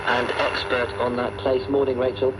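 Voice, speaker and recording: A man's voice: medium pitch, level tone, moderate pace. A man presenting a radio news programme in a scripted style, heard over shortwave. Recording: noisy shortwave radio reception.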